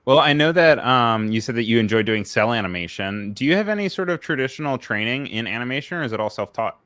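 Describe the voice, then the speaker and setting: A man's voice: mid-range voice, expressive, fast talker, fairly bright tone, no echo. An American man hosting a live-streamed talk show, asking questions in an easygoing way with many fillers. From United States.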